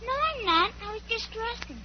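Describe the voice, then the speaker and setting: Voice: extremely high pitch, lively intonation, moderate pace. A performer playing a sweet, sleepy little girl in an old-time radio western. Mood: sleepy, pleading. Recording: muffled, noisy vintage radio recording.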